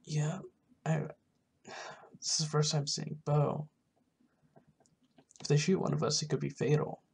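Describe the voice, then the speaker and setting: Creaky-voiced man narrating game roleplay. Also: a little high, a little hiss or hum. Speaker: gaming roleplay video maker. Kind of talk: casual roleplay narration over gameplay.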